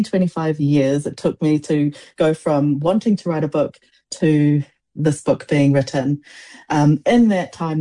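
A woman in her forties, born in Samoa, whose first language is Samoan. She has a low, mellow voice and answers in a reflective, halting way, often restarting her sentences. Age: 40s. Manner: thoughtful, halting, many restarts and fillers. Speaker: poet, guest.

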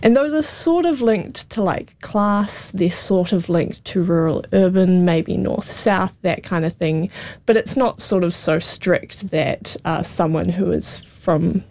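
A young New Zealand woman researcher explains speech patterns patiently, softening her points with little hedges. Her voice is lowish and mellow, with plenty of vocal fry, and the recording is dry and close. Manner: measured and explanatory, with hedging fillers. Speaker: linguistics researcher. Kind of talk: explanatory interview answers.

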